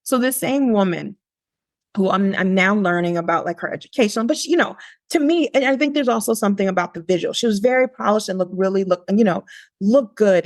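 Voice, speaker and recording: A woman guest on a podcast, telling a personal story in a lively, conversational way, often pausing on "like". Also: medium pitch, no echo, studio quality. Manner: animated, lively quoting of her younger self; frequent "like" fillers and restarts.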